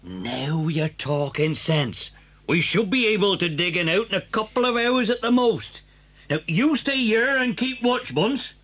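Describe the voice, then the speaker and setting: An impatient farmer for a children's audio play. He is bossy and blustering, with a husky edge and very lively intonation. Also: high voice, unhurried, exaggerated voice. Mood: blustering, irritated. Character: bossy, impatient, chattering male farmer out hunting. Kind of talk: children's audio drama acting.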